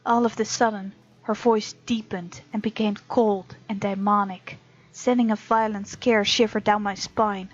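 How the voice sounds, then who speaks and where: A woman's voice: medium pitch, fairly even intonation, a little slow, lo-fi sound. A woman hosting a let's play, reading game text aloud and reacting casually and expressively. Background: faint game music.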